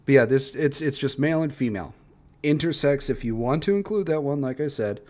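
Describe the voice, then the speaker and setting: A man's voice: medium pitch, expressive, brisk, darkish tone. Blunt, profane male streamer. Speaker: streamer reacting to videos. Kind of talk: casual, opinionated reaction commentary. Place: livestream reaction recording.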